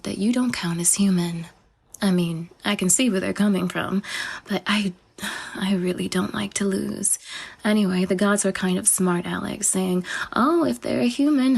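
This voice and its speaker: A woman voicing a vain, possessive goddess in an audio roleplay, with a breathy, whispery voice and a self-adoring, teasing manner. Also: mid-range voice, a little mellow. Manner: self-adoring, teasing, sing-song swings up. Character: vain, possessive female goddess, adult, obsessive.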